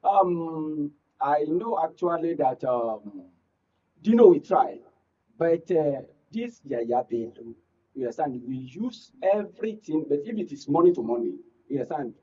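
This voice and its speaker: A Nigerian man talks haltingly and casually as a panel guest. His voice is husky, and the sound is dull and set back from the mic. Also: a little high, sing-song, mellow, far from the mic. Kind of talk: halting casual political commentary. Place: radio and TV studio.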